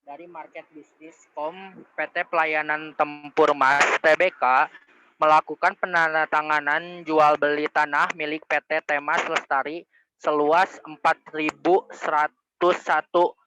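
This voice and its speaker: A man's voice: a little high, flat delivery, a little slow, background noise. A young man reading out his part of a student group presentation. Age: young adult.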